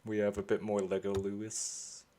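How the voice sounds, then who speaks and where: A man's voice: lowish voice, flat delivery, moderate pace, warm tone. A man talking as a podcast panelist in a casual group chat.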